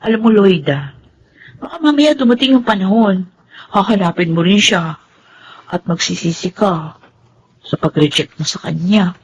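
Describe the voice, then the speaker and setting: A woman's voice: mid-range voice, expressive, normal speed, long pauses, slightly rough, dull audio. A woman with a Filipino accent playing a teasing, frank young best friend in a radio drama. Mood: cautioning. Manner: playful, knowing. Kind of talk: Tagalog radio drama acting.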